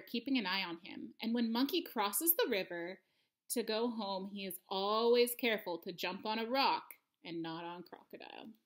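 A woman's voice: on the high side, fairly bright tone. A woman in her thirties reading a picture book aloud expressively for children, at home. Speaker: storybook reader. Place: living room at home.

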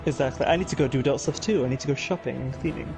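A man's voice: mid-range voice, rapid-fire. A man chatting casually while playing a game with a friend, dry and self-mocking. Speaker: co-player. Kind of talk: casual gaming chat. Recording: game music underneath.

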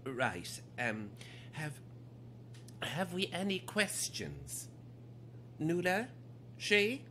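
A man's voice: a little high, wildly swooping pitch, drawn-out words, bright, forceful tone, slight background noise, exaggerated voice. An actor playing an embarrassed, stammering man who hosts a bookshop event in a radio drama. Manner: hesitant, stammering.

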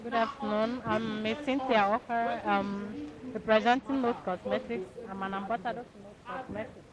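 A woman's voice: a little high, fairly even intonation, moderate pace. A woman with a Nigerian accent presenting products as a brand ambassador in a stall interview. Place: outdoor market stall under tent. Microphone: handheld interview microphone. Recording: muffled, busy outdoor crowd noise.

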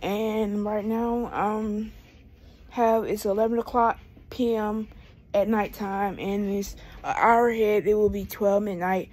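A woman's voice: mid-range voice, fairly even intonation, slow pace, darkish tone. A woman of twenty-two with an American accent, chatting casually and rambling as a vlogger while she paces indoors, recorded on a handheld phone. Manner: rambling, talking while pacing on foot. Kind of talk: casual rambling vlog chat. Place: small room indoors at home. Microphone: phone at arm's length.

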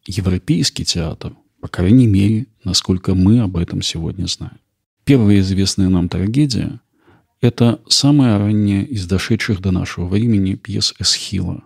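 A man hosting a podcast with measured, explanatory scripted documentary narration. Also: low-pitched, creaky, a little mellow, breathy edge, no room sound, clean studio sound. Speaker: podcast host.

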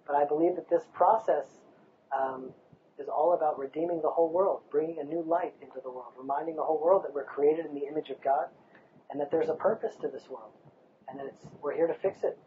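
A man's voice: fairly high pitch, fairly even intonation, a little fast, phone-quality sound, slight background noise. A man in his thirties from the United States, speaking passionately in an outdoor interview on a hilltop overlook, recorded with a lapel mic. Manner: passionate, emphatic, rhetorical.

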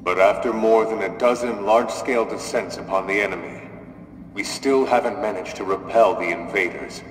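An actor playing a solemn, authoritative military commander in a video game, narrating a war in measured oratory. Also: male voice, fairly low pitch, lightly acted. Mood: grave, measured. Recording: echoing hall with long reverb, hiss.

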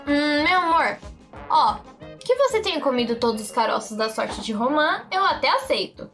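An actress plays a bossy Brazilian wife and mother in a cartoon skit. Her voice is high and exasperated, with music clearly behind it. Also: big pitch swings, normal speed, a bit bright, full character voice. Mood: indignant. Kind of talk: cartoon comedy sketch acting.

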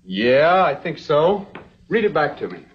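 A smooth young American man works his pitch as a sly, genial promoter in an old TV western. His voice swings in an animated way, on a dull vintage soundtrack. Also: measured pace. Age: young adult. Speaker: actor in a TV western. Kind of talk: 1960s TV western acting.

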